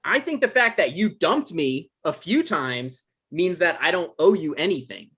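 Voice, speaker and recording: A man's voice: on the high side, expressive, normal speed, pushed and bright, slightly rough, heavily acted. A man playing an evasive, defensive guy in a table read, stammering and hedging in an awkward conversational way. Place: online live stream table read.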